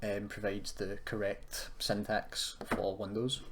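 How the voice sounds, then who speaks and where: A man giving an informal software tutorial, thinking aloud in a hesitant, self-deprecating way as he goes. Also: lowish voice, flat delivery, slow. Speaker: tutorial presenter. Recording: noisy room with keyboard clicking.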